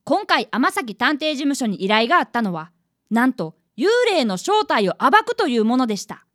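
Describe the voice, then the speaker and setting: A woman's voice: high-pitched, big pitch swings, normal speed, no room sound, studio quality. A woman playing a polite, timid fifth-grade boy who is an apprentice detective in a radio drama. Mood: earnest narrating. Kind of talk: radio drama narration and dialogue.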